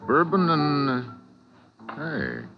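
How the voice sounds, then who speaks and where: An actor playing a brash, wisecracking American adventurer in a 1940s radio drama, with breezy, slangy, self-assured delivery and an American accent. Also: male voice, fairly high pitch, wildly swooping pitch, very slow, full character voice. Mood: flirtatious. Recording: dull vintage radio recording, music behind.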